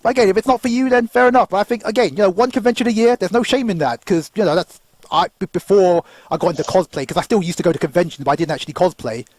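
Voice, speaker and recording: Guy in his 30s hosting a podcast, high voice, rambly and laughy. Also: racing, no echo. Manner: rambling, tangent-prone, laughs often. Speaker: podcast host. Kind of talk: casual panel chat.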